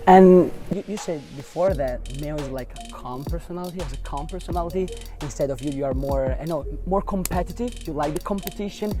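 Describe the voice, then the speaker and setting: Italian accent guy doing a casual interview, highish voice, rambly halting phrasing, music behind. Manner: halting, wordy second-language phrasing.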